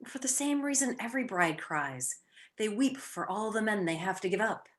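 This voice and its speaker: A woman's voice: mid-range voice, lively intonation, normal speed, close to an everyday voice. A woman playing a clever, teasing young society wife with playful, wry delivery in a radio play.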